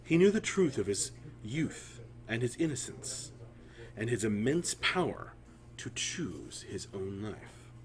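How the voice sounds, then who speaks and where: A man telling a fantasy story in a solemn, theatrical way, with deliberate phrasing and dramatic pauses. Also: mid-range voice, very animated.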